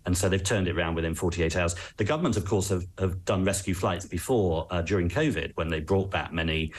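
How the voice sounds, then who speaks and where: Low-voiced English man, measured expert commentary. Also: slight background noise. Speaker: travel expert, interview guest. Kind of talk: news interview commentary.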